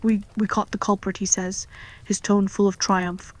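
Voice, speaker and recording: A woman reads a scripted story, including its dialogue. Her pitch is average and moves very little, giving an even delivery. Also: normal speed. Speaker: story reader.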